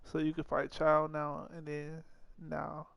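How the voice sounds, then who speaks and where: A man's voice: a little high, monotone, takes it slowly, gravelly, fairly bright tone, smooth, no room sound. A man doing casual let's play commentary as a streamer, reading game dialogue choices aloud. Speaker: gaming streamer. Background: game music.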